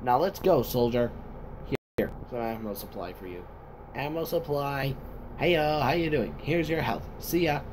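A man voicing an excitable soldier commander in amateur sci-fi video-game voice lines, giving urgent, halting battle callouts. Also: medium pitch, background noise, close to an everyday voice. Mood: brisk, urgent.

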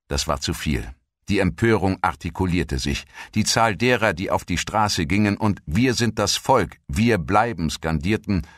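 A man reading a scripted documentary narration in a measured, formal manner. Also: sits low, a bit bright, no room sound, clean studio sound.

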